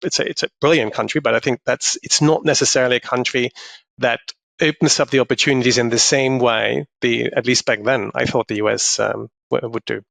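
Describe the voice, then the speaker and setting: A man's voice: medium pitch, steady tone, fairly quick, creaky, a bit bright, dry recording. A middle-aged man with a German accent, speaking thoughtfully as a podcast interview guest, often restarting his sentences. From northern Germany. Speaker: guest, investor.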